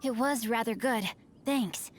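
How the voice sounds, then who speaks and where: A woman's voice: on the high side, unhurried, a little hiss or hum, close to an everyday voice. A woman voicing a refined, bookish young noble boy in a video game, speaking in a formal, eloquent, theatrical way. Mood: measured.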